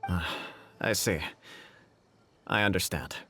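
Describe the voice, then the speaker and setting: An actor playing a courteous, dignified government official in a video game, formal and diplomatic. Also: male voice, low-pitched, slow, bright, forceful tone, slight background noise, exaggerated voice. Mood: polite, composed. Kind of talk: video game dialogue acting. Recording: music behind the dialogue.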